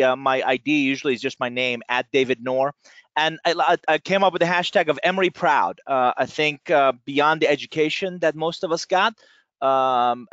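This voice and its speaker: A man's voice: mid-range voice, no room sound. Chatty middle-aged man from Iran, webinar audio. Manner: energetic, chatty with frequent fillers. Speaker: guest speaker. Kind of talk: webinar presentation. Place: his office. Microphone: computer mic on a webinar call. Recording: dry, dull lo-fi webinar audio.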